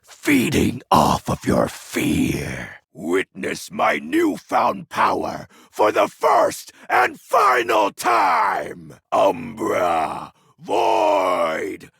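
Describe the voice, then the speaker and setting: An actor playing a melodramatic, edgy lord of shadows in a video game, pompous and theatrical, with a gravelly, breathy voice. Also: male voice, lowish voice, wildly swooping pitch, drawn-out words, fairly bright tone, dry recording, shouting, clean studio sound, full character voice. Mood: menacing, triumphant. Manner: pompous, theatrical, archaic wording. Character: melodramatic edgy male shadow lord, grandiose living darkness. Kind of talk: video game character acting.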